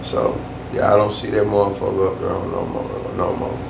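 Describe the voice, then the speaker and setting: Male vlogger, gravelly voice, loose slangy rant, echoey room with faint hum. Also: deep voice, flat delivery, normal speed. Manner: loose, slangy, repeats himself for emphasis. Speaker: YouTube storyteller. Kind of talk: casual ranting vlog.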